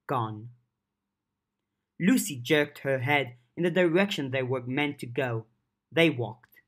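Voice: mid-range voice, takes it slowly, fairly bright tone. A narrator reading a fiction audiobook from a script.

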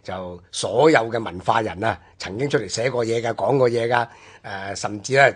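Husky low-voiced Hong Kong Cantonese man. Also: normal speed. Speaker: radio co-host. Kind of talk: conversational history commentary.

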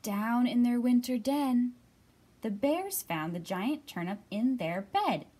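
A woman narrating a children's picture book aloud with measured, emphatic, expressive phrasing. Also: fairly high pitch, slightly rough. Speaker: storyteller.

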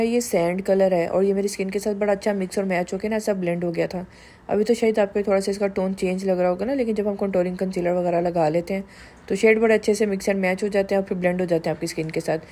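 A woman's voice: medium pitch, mellow. A woman, giving candid, chatty voice-over narration for her shopping vlog and words into her speech. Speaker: vlogger.

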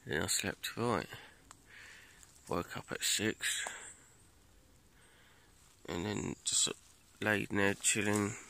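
Low, gravelly British man vlogging outdoors. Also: slow pace, long pauses, sharp tone. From United Kingdom. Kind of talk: casual vlog chat. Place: outdoors in woodland. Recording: noisy handheld outdoor recording.

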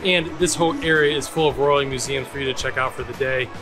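A man's voice: medium pitch, fairly even intonation, quick pace. Man, 40s, travel host; chatty, wry explainer, recorded outdoors on a camera mic with music bed. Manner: chatty, joking, touristy explainer. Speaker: travel vlogger guide. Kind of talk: casual travel vlog narration. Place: outdoors in a park and museum halls.

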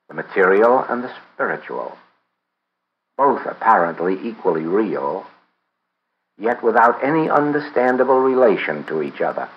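A man reading a spiritual book aloud in a measured, deliberate way, pausing often between phrases. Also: fairly low pitch, dull audio, slight background noise. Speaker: reader of a book. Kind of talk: read-aloud audiobook of a spiritual text.

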